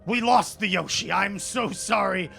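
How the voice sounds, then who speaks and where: A man's voice: high-pitched, measured pace, gravelly, pushed and bright, faint music behind, full character voice. A man voicing a decrepit, revived old politician in a tabletop game, fawning and blubbering, with a stammering, apologetic manner. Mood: distraught, apologetic.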